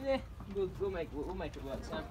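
A man's voice: fairly high pitch, quick pace. A laid-back young man chatting and laughing with friends on a boat, recorded outdoors on an action camera. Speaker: sailor friend. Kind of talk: casual chat. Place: outdoors on a marina pontoon and rib boat. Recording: heavy wind noise outdoors.

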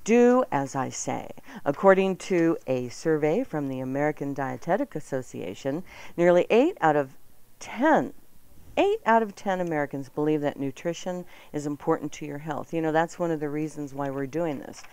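A woman's voice: low-pitched, lively intonation, moderate pace, darkish tone, smooth, dry recording. A woman hosting a live radio show in a playful, chatty manner. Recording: music bed behind 1990s radio broadcast. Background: music.

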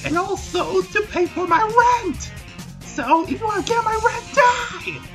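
A man voicing an angsty, short-tempered hedgehog antihero in an improvised comedy parody dub, with a halting, exasperated manner. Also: very high voice, expressive, fairly bright tone, faint music behind, heavily acted. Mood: indignant outburst.

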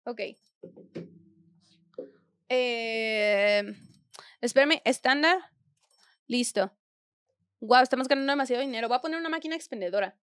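High-voiced Mexican woman streaming a game. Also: a little slow, pauses often, fairly bright tone, smooth, no echo, clean studio sound. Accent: Mexican Spanish. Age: young adult. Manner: chatty, slangy, thinks aloud while playing. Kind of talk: casual gameplay commentary. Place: small indoor room at home.

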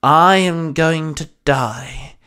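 A man's voice: medium pitch, fairly even intonation, clean tone, lightly acted. Man playing a stern, stubborn cursed sorcerer. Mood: grim, stubborn. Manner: stern, grunting, drawn-out delivery.

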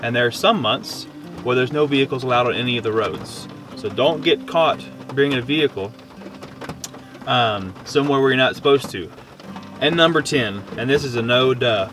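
A man in his thirties with an American accent, talking casually to camera as he gives camping advice outdoors. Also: mid-range voice. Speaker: camping vlogger. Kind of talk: casual instructional talk to camera. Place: outdoors at a forest campsite under a shelter. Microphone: on-camera shotgun mic at short distance.

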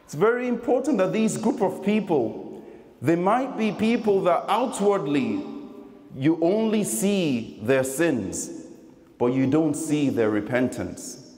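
A man preaches in a measured, emphatic manner, repeating phrases for weight. His voice sits a little high and moves up and down in animated swings. It carries through a large indoor hall with long reverb. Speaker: preacher giving a Friday sermon. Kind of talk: sermon. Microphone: microphone on a stand.

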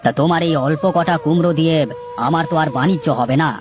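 A man voices a fair-minded, authoritative male merchant in a cartoon folk tale. He gives orders in a measured way, in a somewhat high register, over clear background music. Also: close to an everyday voice. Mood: kindly. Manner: commanding yet measured. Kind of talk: cartoon voice acting.

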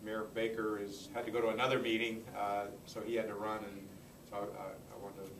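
A middle-aged man giving an informal, halting thank-you speech as a council member. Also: on the low side, monotone, a bit bright. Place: library community room, indoors. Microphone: far camera microphone. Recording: distant camera, echoey room, clear noise.

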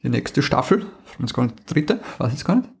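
A man, co-hosting a podcast in casual chat, rambling and halting as he talks. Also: medium pitch, sing-song, vocal fry, breathy edge. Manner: rambling, halting, lots of fillers. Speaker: podcast co-host.

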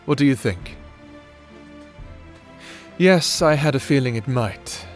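A man's voice: mid-range voice, expressive, slow pace, soft background music. A man playing an affectionate, playfully wicked necromancer husband in a theatrical, tender ASMR roleplay. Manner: tender, teasing, theatrical, often chuckling. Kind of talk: ASMR romantic roleplay audio drama.

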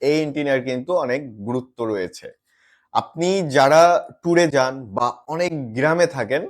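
A man presenting a product review to viewers as a gadget reviewer, mixing in English words and phrases. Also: a little high.

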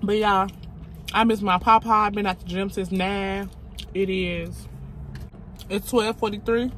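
A blunt, chatty young woman talks straight to her phone camera from a parked car. Her voice is bright and forward, with a faint hum of car ambience behind her. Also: mid-range voice, fairly even intonation, unhurried. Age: 20s. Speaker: vlogger. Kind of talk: casual talk to camera. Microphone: phone camera on dashboard.

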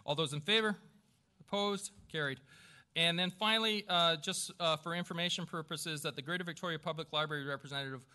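Bright-voiced man chairing a council meeting. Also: on the high side. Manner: halting, self-deprecating, stumbling over names. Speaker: newly elected mayor chairing a council meeting. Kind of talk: formal meeting procedure with informal, joking asides. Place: council chamber with a small audience.

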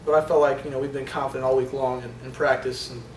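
A young man, a college quarterback at a postgame press conference, answering questions in a halting way with many fillers and restarts. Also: mid-range voice, flat delivery. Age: young adult. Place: indoor press room in front of a sponsor backdrop. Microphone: table microphones from a short distance. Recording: roomy, distant sound with faint noise.

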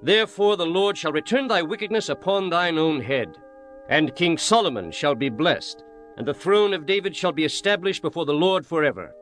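A man's voice: fairly high pitch, moderate pace, a bit bright, faint music behind, natural voice. An actor playing a stern, commanding young king in a dramatized scripture reading, with solemn, declamatory delivery and archaic formal phrasing. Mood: stern, accusing.

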